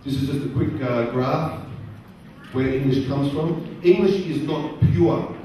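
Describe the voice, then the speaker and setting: A middle-aged man speaking to a live audience at a career event, using slow, simple, repeated phrasing and asking the crowd questions. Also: fairly high pitch, mellow. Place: large conference hall. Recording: very echoey hall, distant voice, faint noise.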